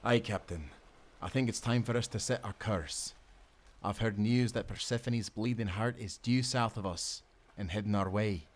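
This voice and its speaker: An actor playing a loyal, tender sailor in a nautical audio roleplay, speaking in an earnest, gentle way. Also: male voice, lowish voice, normal speed, natural voice. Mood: dutiful. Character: loyal, tender young sailor, devoted companion.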